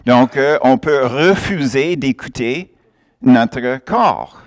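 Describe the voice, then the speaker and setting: A man's voice: medium pitch, lively intonation, takes it slowly. A man preaching a sermon, emphatic and often laughing as he acts out little exchanges.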